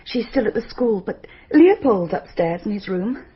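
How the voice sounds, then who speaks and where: A woman's voice: mid-range voice, lively intonation, normal speed, slightly rough. An actress playing an anxious, bereaved middle-aged mother in a radio drama, genteel and hesitant. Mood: bewildered. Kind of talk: radio mystery drama acting. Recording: muffled radio drama recording.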